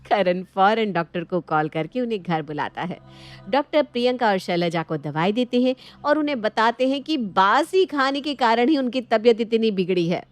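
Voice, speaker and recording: A woman's voice: a little high, lively intonation, normal speed. A woman storyteller reading a scripted moral story in narration style.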